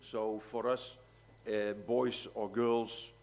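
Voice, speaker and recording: A man hosting a press conference presentation, handing the floor to the coaches in a relaxed way. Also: lowish voice, slow pace, vocal fry. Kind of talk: presentation with slides.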